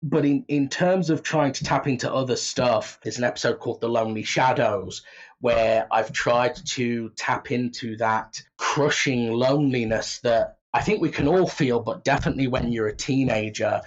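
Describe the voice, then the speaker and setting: A man's voice: medium pitch, moderate pace. Dull-sounding, explanatory male writer. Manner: explanatory, conversational. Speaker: audio drama writer and creator. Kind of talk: casual interview talk.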